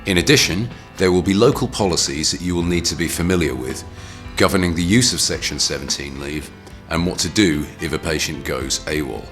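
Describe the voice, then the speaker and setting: A man's voice: low-pitched, brisk, fairly bright tone, faint music behind. A man reading scripted narration for a training film as its narrator.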